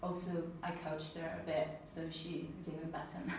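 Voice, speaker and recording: A woman's voice: low voice, fairly quick. Italian woman speaking in an echoey room. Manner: earnest, animated, laughs easily. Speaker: speaker at a talk. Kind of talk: personal talk then audience Q&A. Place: event room with an audience. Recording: echoey room, mic at a distance, faint noise.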